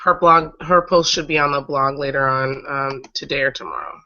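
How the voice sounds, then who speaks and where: Casting: woman online host, bright but slightly raspy with a cold, halting casual delivery, dull lo-fi call audio. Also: extremely low pitch, fairly even intonation, slight background noise. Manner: halting, often pausing mid-sentence to regroup. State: getting over a cold. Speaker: host of an online breastfeeding advocacy show. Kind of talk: casual live webcast chat, recounting stories. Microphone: online video call.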